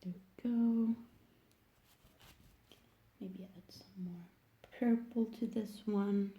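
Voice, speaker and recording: A woman's voice: mid-range voice, steady tone, slow, dull audio. A woman from Sweden with a Swedish accent, speaking English as a second language in a casual hands-on tutorial, hesitant and searching for words. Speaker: nail technician making tutorial videos.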